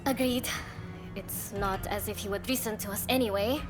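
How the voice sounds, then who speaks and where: A woman's voice: mid-range voice, normal speed, close to an everyday voice. An actress playing a spirited, loyal young woman in a dramatic video game. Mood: friendly, musing. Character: spirited, warm young Japanese woman, loyal to her companions. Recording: music behind the voice.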